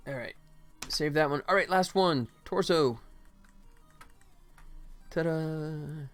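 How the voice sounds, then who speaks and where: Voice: medium pitch, slow pace, soft background music. A game developer hosting a livestream, chatting casually and jokily in a rambling way while working. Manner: rambling, jokey, acts out mock quotes.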